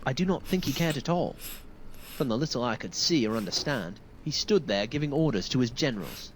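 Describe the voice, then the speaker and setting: A man's voice: medium pitch, lively intonation, fairly quick, a little hiss or hum, natural voice, rough recording. An actor playing a grieving male soldier in a video game, speaking in a solemn, formal, deferential way. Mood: anguished, grim. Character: wounded, grieving male soldier recounting a massacre. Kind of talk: dramatic video game dialogue.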